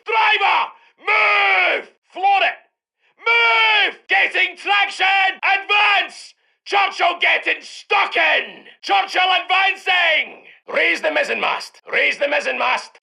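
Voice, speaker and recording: A brash Scottish heavy tank commander from a war game. His voice is pitched very high for a man, hard-edged and piercing, with a thick gravel in it. He barks salty, commanding orders to his crew with swagger. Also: bright, forceful tone, exaggerated voice. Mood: urgent, barking. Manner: commanding, salty, colourful slang. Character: gruff, cocky British heavy tank commander barking orders. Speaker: video game voice actor. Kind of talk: shouted military unit voice lines.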